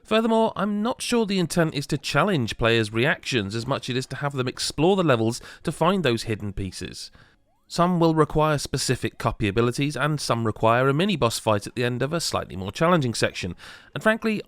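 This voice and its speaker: A man's voice: mid-range voice, a bit bright, no echo. A man reading a scripted video game review as a voice-over. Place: close, dry voice-over recording.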